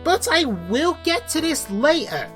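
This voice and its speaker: A man's voice: extremely high pitch, very animated, unhurried, fairly bright tone, soft background music. A man hosting a scripted comic review, with an exasperated, sarcastic way of talking. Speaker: video reviewer and host.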